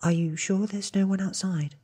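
Guy reading as a young man, soft and breathy, kinda suspicious, audiobook asmr. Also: high voice, steady tone, moderate pace, long reverb tail, voice pushed up.

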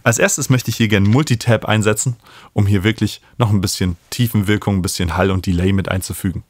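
A man, explaining music software as an instructor in a tutorial. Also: on the low side, steady tone, fairly quick, a little hiss or hum. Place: small home studio, indoors. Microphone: studio microphone up close.

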